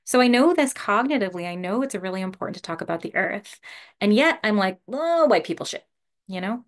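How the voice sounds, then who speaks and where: A woman meditation teacher giving a relaxed, casual dharma talk over a video call, laughing often and using lots of fillers. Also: mid-range voice, brisk. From Canada.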